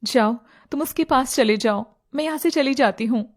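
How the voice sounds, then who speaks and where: A woman's voice: sits high, normal speed. A woman voicing a clingy young city woman who coaxes and pleads in a story. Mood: tearful pleading. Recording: music playing behind the voice.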